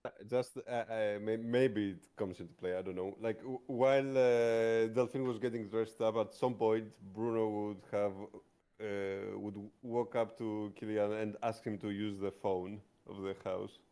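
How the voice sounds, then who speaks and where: A man playing a tabletop game, narrating casually in a hesitant, halting way. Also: lowish voice, level tone, dark tone, clean tone. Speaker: player in a tabletop roleplaying stream. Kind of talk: casual narration of own character's actions.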